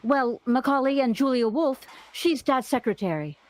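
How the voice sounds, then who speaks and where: An actress playing an anxious, polite, hesitant young daughter in a 1930s radio drama. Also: female voice, sits high, animated, normal speed, darkish tone. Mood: worried, hesitant. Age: young adult.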